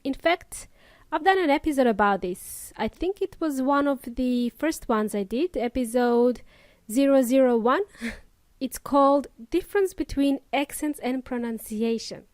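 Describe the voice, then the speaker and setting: A woman's voice: sits high, animated, a little slow, darkish tone, no echo. A young woman with an Eastern European accent tinged with New Zealand and Australian sounds, hosting an upbeat English lesson podcast and talking straight to her listeners. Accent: Eastern European with New Zealand and Australian traces. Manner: upbeat, addresses listeners directly with Spanish asides. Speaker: English teacher podcast host.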